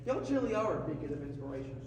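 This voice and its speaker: A man's voice: on the high side, very animated, racing, darkish tone. A man playing a folksy, hearty radio co-host puppet with a Southern US accent in a scripted stage skit. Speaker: co-host of a puppet talk show. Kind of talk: scripted comedy talk show skit on stage. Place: large echoey hall, live performance. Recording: echoey hall from far off, crowd, noise.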